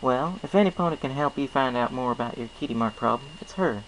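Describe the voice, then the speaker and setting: A man's voice: mid-range voice, lively intonation, fast, a little hiss or hum, pitched above the performer's own voice, close to an everyday voice, low-quality recording. A man voicing a friendly, honest young female country pony with a folksy drawl, in a storybook reading. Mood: encouraging. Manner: folksy drawl with dropped g's.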